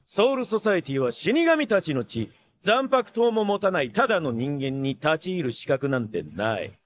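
A man's voice: sits high, full character voice. A male actor playing a flamboyant, cocky showman swordsmith in an anime, with a theatrical, playful sing-song delivery that can turn cold. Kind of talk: Japanese anime voice acting.

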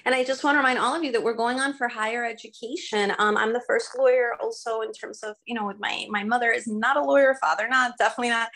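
A woman's voice: fairly high pitch, fairly even intonation, fast, hardly any pauses, fairly bright tone. A woman in her forties hosting an online webinar, speaking earnestly and with emphasis over a laptop mic on a video call. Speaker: webinar host. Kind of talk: welcoming webinar talk. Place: home room on video call. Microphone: laptop webcam mic on video call.

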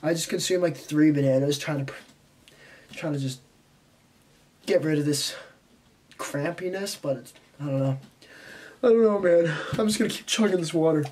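A man's voice: on the high side, darkish tone. A young man with a cold, sounding stuffy and congested, rambling casually to the camera from his bedroom. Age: young adult. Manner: rambling, chatty, quick asides. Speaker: vlogger. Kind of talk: casual vlog talk to camera. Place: bedroom, indoors, small. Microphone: camera in front at close range.

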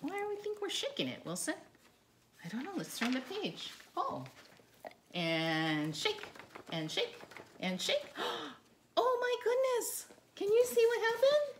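Middle-aged woman, children's storytime reader, lively swooping pitch, unhurried, coaxing questions, noisy home recording. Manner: animated, sing-song, coaxing with questions. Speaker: therapy dog owner reading a storybook aloud. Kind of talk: children's read-aloud, talking to a dog and young viewers. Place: living room by a stone fireplace, indoors.

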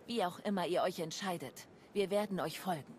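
A grave, independent-minded female adviser acted by a woman in a video game. She speaks in a measured, fairly low voice over a faint rumble. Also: a little mellow, close to an everyday voice. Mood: grave, composed. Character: composed, serious woman adviser, loyal yet independent. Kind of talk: video game cutscene acting.

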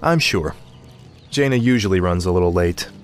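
A young warrior prince in a fantasy game. His voice is low yet youthful, confident, earnest and resolute, with faint music underneath. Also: expressive, moderate pace. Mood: assured.

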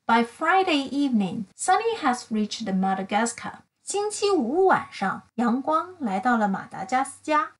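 Slow, higher-voiced woman reading a children's story. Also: very animated. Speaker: storyteller reading a picture book. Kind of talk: slow bilingual children's story read-aloud.

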